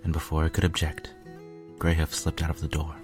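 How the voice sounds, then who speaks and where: Deep-voiced man narrating a story. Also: monotone, fairly quick, faint music behind. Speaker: fan fiction reader, narrator.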